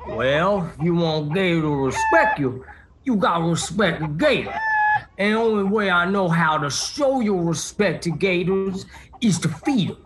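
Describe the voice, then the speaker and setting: A man's voice: high-pitched, animated, moderate pace, noisy background. A man playing a folksy old swamp grandpa in a comedic radio drama. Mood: folksy, unhurried.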